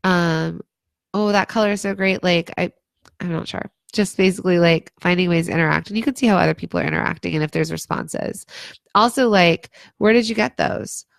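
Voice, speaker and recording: Hoarse lady doing a chatty facebook live, low gravelly voice, lots of likes and ums. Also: brisk, dry recording, studio quality. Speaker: brand consultant. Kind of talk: casual livestream advice talk.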